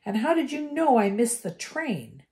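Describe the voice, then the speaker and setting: A woman's voice: fairly high pitch, very animated, a little slow, mellow, pitched above the performer's own voice. A woman voicing an injured twelve-year-old boy who speaks sharply and earnestly. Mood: fierce.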